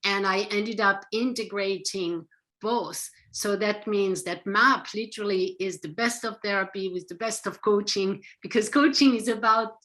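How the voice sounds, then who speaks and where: Interview guest: middle-aged woman, French accent, bright and earnest. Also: medium pitch, normal speed. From France. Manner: earnest, emphatic, explains with conviction. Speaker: psychotherapist guest. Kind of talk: interview answers.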